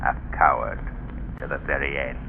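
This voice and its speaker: A man voicing the Shadow, a mysterious crime-fighting hero, in a 1930s radio drama, with a deep, slow, ominous delivery. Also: fairly even intonation, vocal fry, a little hiss or hum. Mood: grave. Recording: tinny remastered 1930s radio broadcast.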